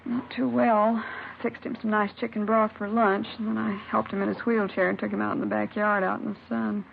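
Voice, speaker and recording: Chatty American neighbor, creaky voice, homely, sympathetic, vintage radio drama, muffled noisy sound. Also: female voice, mid-range voice, flat delivery, a little fast. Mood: chatty, concerned. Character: chatty, kindly, sympathetic female next-door neighbor. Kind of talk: 1950s radio crime drama acting.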